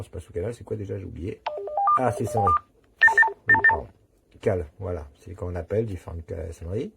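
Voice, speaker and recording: A man's voice: on the low side, creaky, slight background noise. A man giving a casual, unscripted product review, talking colloquially and thinking aloud as he demonstrates.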